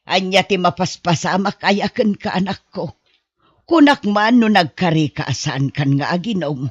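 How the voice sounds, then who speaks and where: A woman's voice: fairly low pitch, lively intonation, moderate pace, breathy edge, no room sound. A woman with a Filipino accent acting a worried, scolding family woman in an audio drama. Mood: dismayed. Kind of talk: amateur Ilocano radio-style drama acting. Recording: dull, roomless lo-fi audio.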